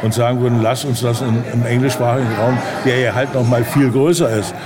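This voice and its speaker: Man talking haltingly amid crowd noise. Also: mid-range voice, little pitch movement. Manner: halting, searching for words. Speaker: interview guest, audio drama director. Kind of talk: casual interview chat. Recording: busy crowd around the voice. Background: chatter of a crowd.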